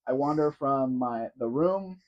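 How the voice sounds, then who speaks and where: A man's voice: mid-range voice, expressive, unhurried, darkish tone, lo-fi sound. A man hosting a casual live question-and-answer stream, chatting loosely and answering viewers by name. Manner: chatty, answers chat by name, wanders while talking. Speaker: livestream host.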